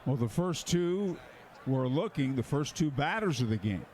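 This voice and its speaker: A man's voice: medium pitch, lively intonation, normal speed, darkish tone, slight background noise. A man giving analytical color commentary during a live baseball broadcast. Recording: outdoor stadium ambience behind voice. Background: ballpark ambience.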